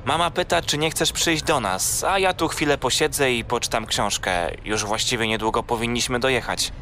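A man's voice: mid-range voice, moderate pace, bright, forceful tone, a little hiss or hum, close to an everyday voice. An actor playing a kindly, teasing young man, a big brother, in a children's audio drama.

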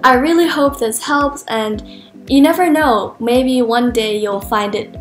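A woman's voice: on the high side, unhurried. A teenage girl who grew up speaking Cantonese, earnestly hosting a casual language lesson to camera and mixing in filler words. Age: teens. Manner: earnest, switching between English and Cantonese with frequent fillers. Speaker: language channel host. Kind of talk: casual teaching vlog. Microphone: camera at close range facing her. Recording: echoey room with faint music.

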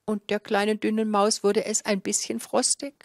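An older woman storyteller, telling a fairy tale live in a theatrical, expressive manner into a handheld microphone. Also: medium pitch, normal speed, no room sound, studio quality. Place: small indoor café room with a seated audience. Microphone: handheld microphone held close.

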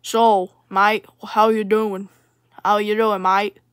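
A woman's voice: medium pitch, little pitch movement, slow pace, clear, resonant tone, lightly acted. A woman voicing Australia as a cheerful, knife-happy Aussie bloke in an improvised comedy skit, brash and matey with an Australian accent. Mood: boastful, matey. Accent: Australian, put on. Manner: brash, matey, repetitive slangy phrasing.

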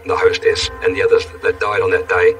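Deep-voiced man recalling a crash. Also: wildly swooping pitch, a little fast, a bit bright. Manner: plain, reflective storytelling. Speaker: crash survivor interviewee. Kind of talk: documentary interview recollection. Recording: distant mic with music.

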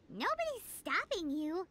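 A woman voicing a small, perky, bossy fairy girl in a video game, squeaky and chirpy, with exclamatory delivery. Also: sharp tone, slight background noise, exaggerated voice. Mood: reassuring. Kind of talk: video game character acting. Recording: game music behind the voice.

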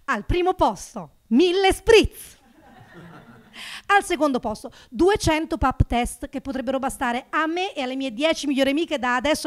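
A woman in her thirties from Naples performing a stand-up routine into a handheld microphone on a small club stage, with a sarcastic, animated delivery that jumps up for punchlines. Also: fairly high pitch. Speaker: stand-up comedian.

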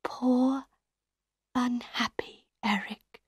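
A woman voicing an anguished, tender young female captive in an audiobook, slow, emotional and pleading. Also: on the high side, fairly even intonation, slightly rough, no room sound, studio quality, voice pushed up, full character voice. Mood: quiet, pitying. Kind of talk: audiobook character voice.

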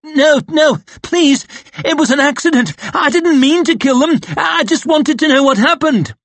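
A man's voice: very animated, normal speed, breathy edge, dry recording. A man voicing a cowardly, whining young male inquisitor in a raised, pleading voice. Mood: panicked pleading. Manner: high, pleading and defensive.

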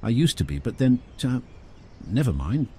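Man doing a gentle old beekeeper. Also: medium pitch, very animated, normal speed, breathy edge, voice pushed up. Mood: friendly.

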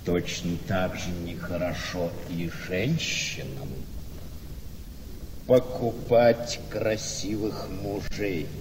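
An actor playing a cynical, mocking gentleman in a radio play, with a deliberate, sardonic, theatrical delivery. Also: sits low, steady tone. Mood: cool warning. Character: cynical, mocking gentleman needling a friend's young wife. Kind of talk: Russian classic radio play acting. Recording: reverberant hall-like studio, faint noise.

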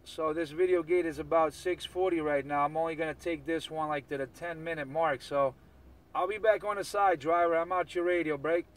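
A man's voice: on the high side, fairly even intonation, a little fast, slight background noise, low-quality recording. A man talking casually in CB radio slang, repeating catchphrases as he operates and records. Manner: repetitive, chant-like catchphrases.

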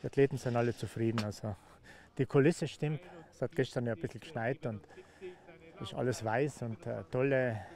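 A man's voice: medium pitch, fairly even intonation, normal speed, vocal fry, a little mellow. An older man, a race organizer, speaking proudly and enthusiastically outdoors at a ski slope into a handheld mic. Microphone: handheld interview mic.

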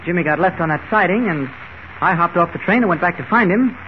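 An actor playing an earnest, determined reporter in 1940s radio drama style, speaking emphatically, on an old radio recording. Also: male voice, high voice, lively intonation, fast talker. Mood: matter-of-fact. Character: earnest, determined young newspaper reporter, male. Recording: muffled, noisy 1940 radio recording.

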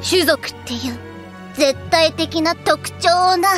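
A woman's voice: high-pitched, slow, faint music behind. A woman playing a self-serious little girl acting as a hard-boiled detective, delivering dramatic deductions in an anime audio drama. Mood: solemn accusation.

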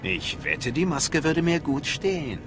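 An actor voicing a sly, ambitious Sith inquisitor in a video game, theatrical and smoothly menacing. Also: male voice, on the high side, very animated, a little slow. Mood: wry. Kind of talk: dramatic video game dialogue. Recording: music behind the voice.

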